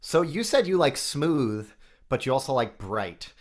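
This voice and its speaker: A man's voice: medium pitch, big pitch swings, measured pace. A man with a nasal voice, a guest on a podcast, chatting casually and technically about microphones. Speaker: guest, microphone company CEO.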